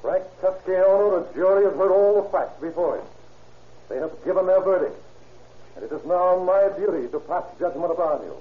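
An actor playing a stern, solemn judge, speaking formally and deliberately in an old radio drama. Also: male voice, high-pitched, lightly acted. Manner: formal, measured, declamatory. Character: stern, solemn male judge passing sentence. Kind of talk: 1930s radio drama acting. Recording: tinny, echoey old radio recording.

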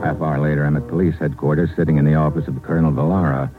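Low-voiced, hardboiled American agent, vintage radio. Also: male voice, flat delivery, very fast. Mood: cool, wry. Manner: clipped, slangy hardboiled delivery. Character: tough, slangy American male undercover government agent, cool and calculating. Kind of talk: old-time radio drama acting with first-person hardboiled narration. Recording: old radio recording, narrow band, music behind.

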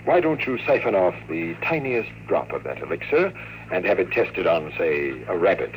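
An actor playing a cool, smooth-talking swindler with a gun in an old radio drama, formal and measured in his threats. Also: low-pitched, big pitch swings. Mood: smooth, persuasive. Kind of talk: old-time radio villain acting. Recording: dull, noisy old radio recording.

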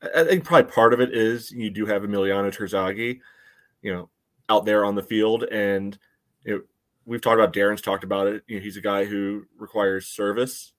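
A man co-hosting a sports podcast, explaining plays in a conversational, measured way. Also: fairly low pitch, steady tone, a bit bright. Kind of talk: casual soccer analysis.

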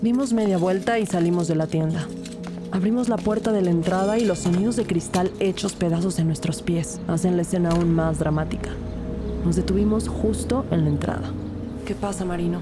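A woman's voice: fairly low pitch, brisk, music in the background, lightly acted. A woman playing a skeptical young police detective who narrates her investigation in an audio drama. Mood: focused, serious. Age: young adult. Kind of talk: audio drama dialogue and first-person narration.

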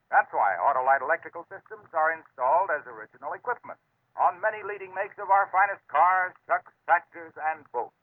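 A man's voice: a little high, a little fast. A man announcing a 1950s radio commercial with emphatic, polished salesmanship. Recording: tinny old radio recording.

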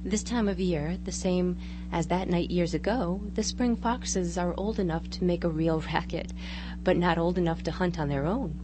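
A woman playing an earnest small-town mother in a live radio drama, calmly explaining and reassuring like a storyteller. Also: on the low side, normal speed, close to an everyday voice. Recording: hum and loud background noise.

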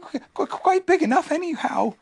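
A shy, stammering little piglet, put on by a parent reading a storybook, tripping over every word. The voice sits high and wavers up and down. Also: lively intonation, moderate pace, darkish tone. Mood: timid stammering. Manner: stutters, nervous hesitations.

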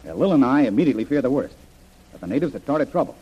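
An actor playing a decisive, troubled adventurer man with a husky voice in an old-time radio adventure. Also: medium pitch, big pitch swings, racing. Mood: worried. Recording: dull old radio recording.

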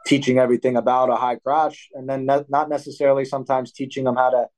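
A man's voice: medium pitch, quick pace, poor audio. Rambling young man coaching talk. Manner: rambling, self-correcting, animated when acting out drills. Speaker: wrestling coach and athlete, guest. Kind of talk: casual interview talk.

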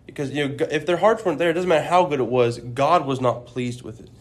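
A man's voice: a little high, quick pace. A man co-hosts a casual religious podcast. He speaks earnestly and thoughtfully, circling back and restarting his phrases as he works out his point. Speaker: podcast co-host.

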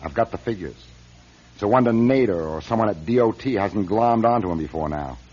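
An actor playing a shrewd, guarded car company chief in a radio drama, speaking with authority and probing. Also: male voice, on the low side, lively intonation, brisk, mellow, husky. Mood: grave urgent. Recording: dull, muffled radio drama recording.

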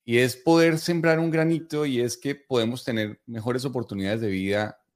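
A middle-aged man from Colombia with a Bogotá accent, answering interview questions conversationally and explaining things in long, measured sentences. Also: mid-range voice, steady tone. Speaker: interview guest, investing educator.

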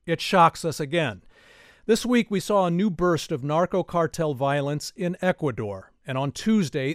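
Radio talk show host, fairly high voice. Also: male voice, dry recording, clean studio sound. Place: radio studio. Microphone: studio microphone.

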